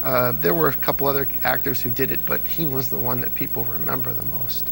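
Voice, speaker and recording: Man, creaky gravelly voice, middle pitch, enthusiastic explainer, casual interview guest, faint background noise. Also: level tone, quick pace. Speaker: interview guest, old-time radio fan.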